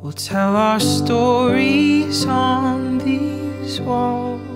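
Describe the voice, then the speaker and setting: Female singer, high voice, very slow delivery, prominent musical backing. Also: steady tone. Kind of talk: slow sung lullaby-like song. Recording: loud music over the voice.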